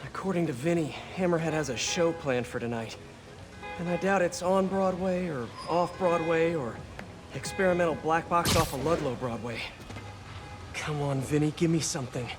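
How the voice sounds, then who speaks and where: A man's voice: fairly high pitch, moderate pace, lightly acted. A man voicing an earnest, wisecracking young American masked hero in a video game, muttering quips to himself in a naturalistic way. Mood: wry, focused. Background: music.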